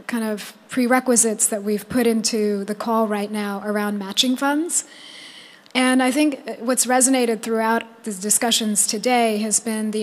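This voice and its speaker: A woman giving a spoken summary at a meeting, stressing and repeating her key points. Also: on the high side. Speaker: roundtable participant reporting back.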